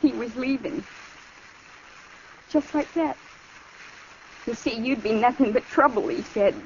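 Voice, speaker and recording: An actress playing a distraught, wounded, heartbroken young frontier woman in a 1950s radio western, speaking in a halting, fragile way. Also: a little high. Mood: anguished. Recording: muffled, hissy 1950s radio recording.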